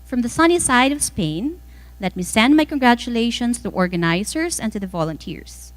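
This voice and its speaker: A woman reading an inspirational welcome speech from a script, with deliberate, dramatic phrasing and measured pauses. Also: a little high, animated. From the Philippines. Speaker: event speaker reading welcome remarks on another's behalf.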